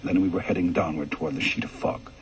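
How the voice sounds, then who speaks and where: A man reading a ghost story aloud for radio in a measured, calm way, going soft and low in the reflective passages. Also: a little hiss or hum. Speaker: story reader.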